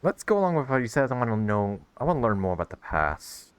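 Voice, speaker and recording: A man's voice: medium pitch, big pitch swings, quick pace, smooth, no echo. A man giving casual reaction commentary as a game streamer, musing aloud conversationally into a close mic.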